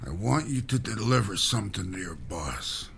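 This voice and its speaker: An actor playing a gruff male fight promoter in an old radio drama, curt and mocking. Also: fairly low pitch, big pitch swings, moderate pace, a bit bright, full character voice. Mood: threatening. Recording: old radio drama recording with faint noise.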